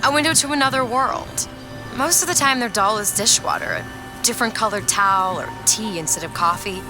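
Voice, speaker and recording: A woman's voice: medium pitch, brisk, lightly acted. An actress playing a curious, sheltered young woman in a video game, talking eagerly and expressively. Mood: wondering. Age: young adult. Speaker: game character. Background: game music.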